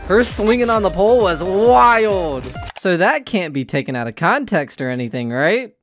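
A man's voice: sits high, big pitch swings, normal speed, fairly bright tone. A man, doing casual, slangy reaction commentary and often repeating himself. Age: 20s. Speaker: reaction video host. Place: small room indoors. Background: faint trailer music.

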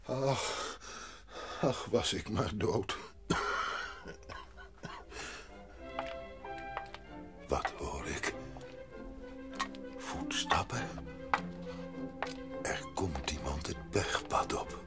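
A frail old grandfather in a children's radio play, slow and full of feeling. His pitch swings widely as he sighs through his words, with music playing clearly underneath. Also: very animated, heavily acted. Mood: gloomy, weary. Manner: slow, emotional, sighing. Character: frail, lonely old grandfather in a mountain hut. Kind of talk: Dutch audio drama acting.

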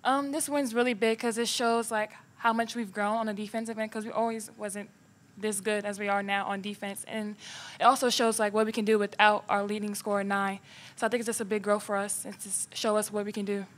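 Young woman player at a postgame presser, flat monotone, casual, kinda halting. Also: mid-range voice. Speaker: college player.

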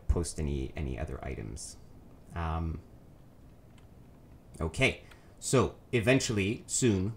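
Deep-voiced man with a nasal cold. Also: a little hiss or hum. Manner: rambling, many ums and self-corrections. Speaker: let's play host. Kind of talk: casual gameplay commentary.

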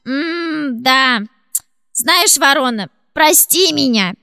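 Teasing monkey puppet for kids, super high n sharp, dry sound. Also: female voice, expressive, slow, gravelly, dry recording, faint music behind, heavily acted. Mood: softened. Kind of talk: children's puppet show acting.

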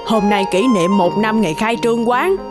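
A woman's voice: mid-range voice, moderate pace, loud music behind the voice, lightly acted. A woman voicing a blunt but kind-hearted middle-aged noodle cook in a cartoon. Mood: kindly. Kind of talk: cartoon voice acting.

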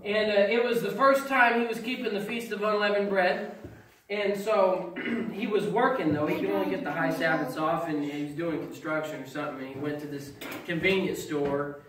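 A middle-aged man doing folksy stand-up storytelling, getting loud and emphatic at the punchlines. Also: sits high, fairly even intonation, moderate pace, a little hiss or hum. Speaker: stand-up comedian. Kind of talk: stand-up comedy at a congregation event. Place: small fellowship hall with audience. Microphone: far camera, no mic. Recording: echoey hall recorded from far off with audience laughter.

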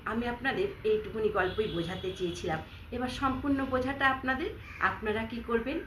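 A woman's voice: on the high side, a little fast. Woman telling a children's story, echoey. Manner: warm address to listeners, moralizing close. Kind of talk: children's story narration with a moral. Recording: echoey room with faint noise.

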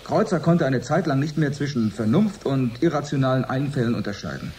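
Actor as lively-pitched, didactic male scientist. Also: natural voice. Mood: earnest, explanatory. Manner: didactic, deliberate, formal. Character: earnest male research scientist explaining his theory. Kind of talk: radio drama, expert interview. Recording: faint background noise.

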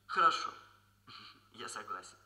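A man's voice: measured pace, bright, forceful tone, a little hiss or hum. A man playing an earnest, sensitive young hairdresser with formal, slightly self-important phrasing, in a Soviet radio drama. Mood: businesslike, self-important. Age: young adult. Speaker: actor in a radio play.

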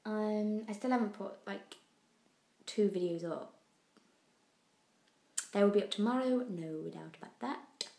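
A young woman from the UK with a croaky voice, rambling through a casual video diary with lots of fillers. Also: medium pitch, measured pace, long pauses, dark tone. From United Kingdom. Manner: rambling, chatty, many fillers and trailing asides. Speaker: vlogger. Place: indoors, abroad in Italy. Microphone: handheld phone camera.

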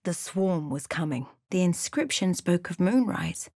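A woman voicing an observant adventuress in a fantasy video game, with measured, literate, dramatic delivery and wry asides. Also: fairly low pitch, expressive, darkish tone, slightly rough, no room sound, clean studio sound. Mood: measured, watchful. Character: observant young adventuress, a fantasy game companion recounting her journey. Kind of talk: video game voice lines, dramatic narration and remarks.

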